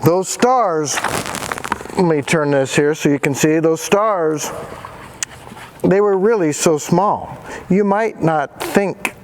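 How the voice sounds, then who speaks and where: A man's voice: fairly high pitch, slow, pauses often, noisy background. A male teacher giving a dramatic read-aloud of a picture book to students. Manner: animated, dramatic reading voice.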